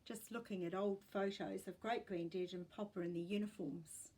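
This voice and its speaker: A woman's voice: medium pitch, steady tone, moderate pace, warm tone, natural voice, rough recording. A woman voicing a sullen, stubborn young boy who mutters and snaps, in a storybook reading. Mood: sullen, muttering. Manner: muttering, snappy. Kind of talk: storybook character voice.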